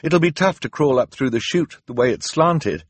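Bookish middle brother, worried and earnest, urgent reasoning, husky, a man putting him on. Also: fairly high pitch, expressive, moderate pace, pitched above the performer's own voice. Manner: hesitant, then urgent and persuasive.

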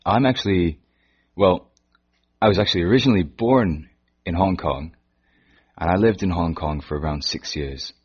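A man from Canada with a Canadian accent that keeps traces of British, answering interview questions in a hesitant way with frequent pauses. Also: low-pitched, slightly rough. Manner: hesitant, pauses and restarts often. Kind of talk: casual interview chat. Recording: dull lo-fi recording.

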